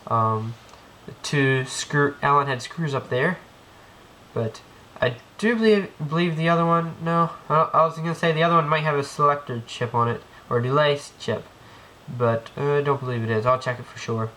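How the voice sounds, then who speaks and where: A man's voice: fairly high pitch, normal speed, sharp tone. A man with a cold giving a casual hands-on tutorial, rambling with many ums and restarts as he works. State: has a cold. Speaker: airsoft gearbox tech demonstrator. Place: indoor room, work on floor. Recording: noisy home video with clicks.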